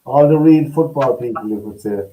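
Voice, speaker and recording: A man's voice: mid-range voice, normal speed, muffled recording. A man hosting a sports show in a casual, chatty style.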